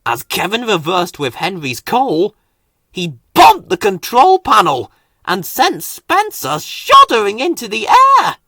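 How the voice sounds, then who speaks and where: A man narrating a children's story in an expressive read-aloud style. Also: high voice, takes it slowly, dry recording, clean studio sound.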